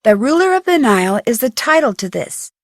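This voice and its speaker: A young American woman playing a curious, chirpy amateur detective in an adventure game, thinking aloud with clear enunciation. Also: a little high, very animated, a little fast, no room sound, clean studio sound. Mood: reciting. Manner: clearly enunciated, inquisitive musing to herself.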